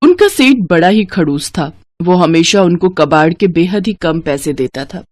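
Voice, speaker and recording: A woman's voice: lowish voice, expressive, moderate pace, no echo. A woman narrating a scripted moral story as its storyteller.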